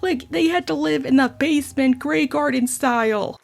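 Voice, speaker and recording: Woman, young, hoarse sore throat, high voice, gravelly, casual sardonic commentary. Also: moderate pace, background noise. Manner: sardonic, chatty asides. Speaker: YouTube video essayist. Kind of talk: casual commentary video essay.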